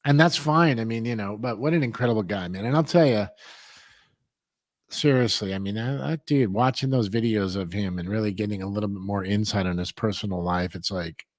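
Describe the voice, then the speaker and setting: A man's voice: on the low side, fairly quick, no room sound. Rambling middle-aged man, dry close sound. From Hollywood, California. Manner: rambling, lots of fillers. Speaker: co-host. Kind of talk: casual chat.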